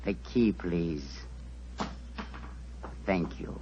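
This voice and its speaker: A man's voice: sits low, very slow, vocal fry, dark tone, a little hiss or hum, full character voice. An actor playing a proud, coldly polite male villain, formal and menacing, in 1940s radio drama style. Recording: muffled vintage 1940s radio recording.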